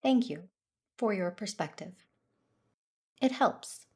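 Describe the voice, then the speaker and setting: A woman's voice: medium pitch, lively intonation, takes it slowly, darkish tone, husky. A woman playing a reserved, dutiful Jedi who narrates a sci-fi audio drama in the first person. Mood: subdued, restrained.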